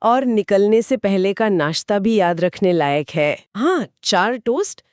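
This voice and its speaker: A woman's voice: medium pitch, expressive, measured pace, darkish tone, no echo, studio quality. A woman co-host retelling a short story as scripted narration within a two-person discussion.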